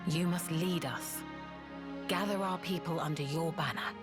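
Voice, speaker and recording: An actress playing a proud, guilt-burdened former assassin in a video game, with a curt, formal way of talking. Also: female voice, lowish voice, moderate pace, music in the background, lightly acted. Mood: solemn, resigned. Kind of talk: video game cutscene acting.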